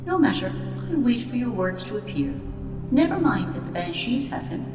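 A sly, mocking fox spirit from a storybook, speaks in a creaky voice that sits fairly high. It sounds impatient and sardonic, set back in a long echoing reverb with music clearly behind it. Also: female voice, very animated. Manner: slow, sardonic. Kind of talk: audiobook character acting. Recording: echoey hall reverb, distant, music behind.

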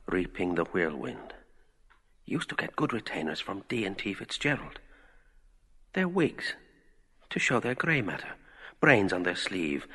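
A man's private thoughts in a literary radio drama. The voice is low and husky with a creaky edge, musing in short, observant fragments inside a long, echoing reverb. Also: bright, forceful tone, exaggerated voice. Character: Mr Bloom, musing, observant man thinking to himself.

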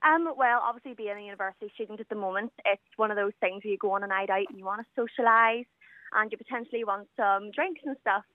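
A woman's voice: medium pitch, fast talker, no echo. A confident 21-year-old woman from Northern Ireland with a Northern Irish accent, chatting with many fillers as a guest on a radio call-in. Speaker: guest caller. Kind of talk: casual radio interview over the phone. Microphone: telephone. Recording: tinny phone line.

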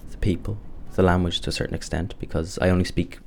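A man from Dublin with an Irish accent, narrating a personal documentary in a measured, reflective way. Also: deep voice, fairly even intonation, gravelly, darkish tone, slight background noise. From Dublin, Ireland.

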